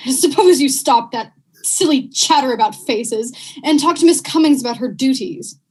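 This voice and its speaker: Young actress, nagging jealous wife, middle-aged, radio drama, high-pitched, raspy edge. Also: female voice, lively intonation, normal speed, poor audio. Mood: curt. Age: young adult. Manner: imperious, nagging. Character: demanding, jealous, ailing wife past middle age. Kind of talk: vintage radio drama acting.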